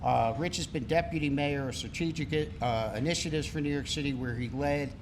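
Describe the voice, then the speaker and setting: A man who is a board member, reading a measured, prepared introduction before presenting an award at a gala. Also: fairly high pitch, noisy background. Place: gala banquet hall.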